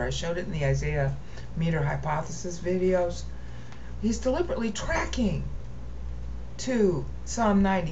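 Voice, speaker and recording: A low-pitched American woman lectures over a screen-share, thinking out loud and pausing to ask "okay?". She is recorded on a dull, lo-fi desktop mic with faint hiss behind her. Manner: thinking aloud, rambling, frequent "okay?" checks. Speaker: Bible teacher.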